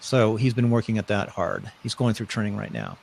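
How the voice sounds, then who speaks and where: A man's voice: fairly low pitch, fairly even intonation, fairly quick, gravelly, a little hiss or hum. A middle-aged man hosting a casual live-stream interview, chatty and rambling, often restarting his sentences. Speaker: host.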